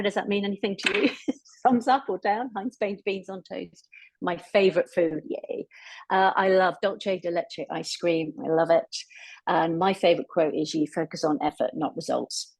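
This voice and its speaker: An Englishwoman from Cornwall, a netball coach, introduces herself with self-deprecating humour. Her voice is low and husky. It sounds dry and close on a call mic. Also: darkish tone, dry recording. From England, Cornwall.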